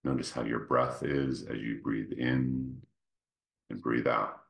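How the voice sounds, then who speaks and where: Very deep, creaky-voiced man guiding meditation. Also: steady tone. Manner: gentle, unhurried, phrases broken by pauses. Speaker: meditation guide. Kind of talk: guided meditation, slow soothing instruction.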